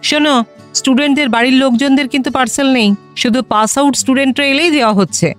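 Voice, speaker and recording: A woman playing a brisk, motherly middle-aged headmistress in an audio drama. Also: sits high, faint music behind, natural voice. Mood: harried, bossy. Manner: brisk, instructing.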